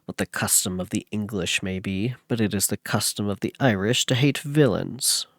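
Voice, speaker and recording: A man reading aloud as a gruff townsman, stern and blunt with a stranger. The voice sits low and a touch deeper than the reader's own, and the sound is close and dry. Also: normal speed, a bit bright, dry recording.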